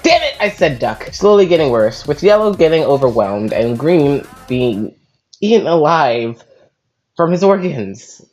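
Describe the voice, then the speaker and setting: A woman's voice: extremely low pitch, a little mellow. A woman hosting a video review, reading scripted narration with casual asides. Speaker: host of a video review show.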